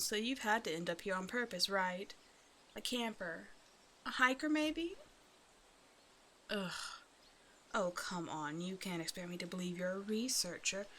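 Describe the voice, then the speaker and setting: A woman's voice: mid-range voice, fairly bright tone, a little hiss or hum. A woman voicing a hungry half-snake naga in an ASMR roleplay, teasing and condescending, with mock-sweet menace. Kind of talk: ASMR roleplay audio drama, addressing the listener.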